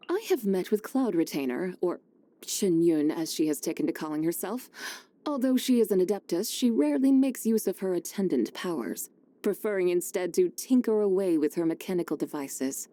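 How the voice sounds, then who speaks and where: Dark, mellow-voiced serene ancient immortal played by a woman. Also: fairly low pitch, close to an everyday voice. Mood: calm, thoughtful. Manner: formal, measured, genteel old-fashioned phrasing. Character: serene, courteous ancient female adeptus, wise and wistful. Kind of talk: scripted game character voice lines.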